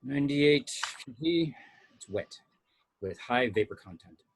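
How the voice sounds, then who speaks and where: A man acting a spaceship science officer in a film script. His voice is mid-pitched and rough-edged, with a measured, clinical way of reciting readings. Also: animated, low-quality recording. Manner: matter-of-fact reporting of technical readings. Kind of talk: amateur screenplay table read.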